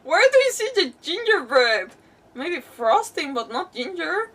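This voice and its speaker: A woman's voice: high voice, big pitch swings, measured pace, fairly bright tone, far from the mic. A woman livestreaming a video game, chatting casually in a rambling, emphatic way and often repeating words. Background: faint game music.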